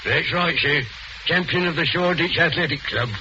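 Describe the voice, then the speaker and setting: An actor in 1940s radio style playing a loyal, boastful Cockney ex-wrestler chauffeur, deferential and plain-spoken. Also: male voice, a little high, normal speed, close to an everyday voice. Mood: sturdy, dutiful. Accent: London Cockney. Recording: noisy, muffled old radio broadcast.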